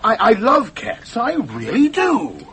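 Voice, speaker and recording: An actor playing a tactless, excitable film writer in a radio play, prattling on and repeating himself in little stammers. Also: male voice, high voice, slow pace, exaggerated voice. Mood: remorseful. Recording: noisy old radio drama recording.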